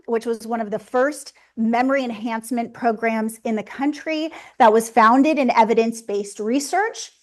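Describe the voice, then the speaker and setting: A woman in her forties from Los Angeles, giving a seminar talk as a psychologist in a loud, chatty and friendly way. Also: a little high. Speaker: psychologist presenter. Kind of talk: seminar presentation. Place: seminar room.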